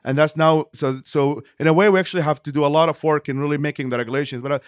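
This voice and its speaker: A man from Iraq speaks as an in an interview. He talks quickly and at length, reworking his sentences as he explains. The sound is close and dry, with no room around it. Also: fairly high pitch, steady tone, no echo. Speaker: interview guest, nonprofit leader.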